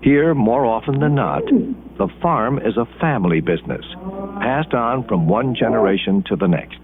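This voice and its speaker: A man with an American accent narrating an educational documentary in measured, deliberate phrases with dramatic pauses. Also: mid-range voice, expressive. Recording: muffled band-limited 1980s film soundtrack. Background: background music.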